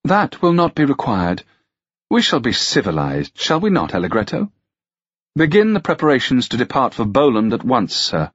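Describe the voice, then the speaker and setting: A man's voice: medium pitch, sing-song, moderate pace, a bit bright, husky, no echo, lo-fi sound, pitched above the performer's own voice. A man voicing a haughty, cool, mocking princess in an audiobook, with imperious, archaic courtly speech.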